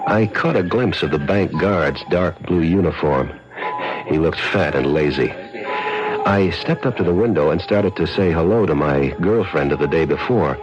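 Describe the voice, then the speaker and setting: A low-voiced, smug small-time crook spins his scheme in hardboiled radio-drama style. The sound is a muffled old broadcast with music clearly behind him. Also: animated, normal speed. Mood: smug, dreamy. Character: scheming, cocky small-time swindler telling his own story. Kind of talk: 1970s radio drama acting with first-person narration.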